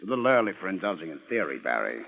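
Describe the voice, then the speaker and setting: Droll, precise police lieutenant, very wide swings in pitch, vintage 1950s radio sound. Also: male voice, sing-song, racing, vocal fry, fairly bright tone, slightly rough, full character voice. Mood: measured. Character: fussy, college-educated male police lieutenant. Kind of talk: 1950s radio detective drama acting.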